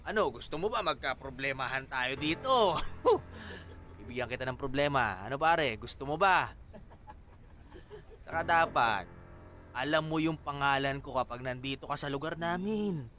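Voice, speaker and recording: An actor playing a menacing, mocking drunken thug who bullies and taunts in a radio drama. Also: male voice, medium pitch, animated, moderate pace, long pauses, sharp tone, a little hiss or hum. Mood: taunting. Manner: taunting, swaggering, punctuated with mocking laughter.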